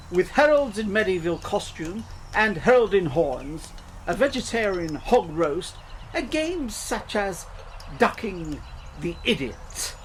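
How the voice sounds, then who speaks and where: A man's voice: sits high, a little hiss or hum. A man playing a wordy, pedantic and self-important newspaper publisher in a comedy audio drama. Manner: pedantic, florid, deliberate. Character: pompous, wordy small-town newspaper publisher.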